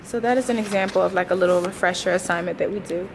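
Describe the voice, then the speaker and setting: A woman's voice: lowish voice, fast, noisy background. A woman in her twenties, a teacher, chatting casually to a vlog audience in an informal, slangy way with wry asides. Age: 20s. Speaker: teacher and vlogger.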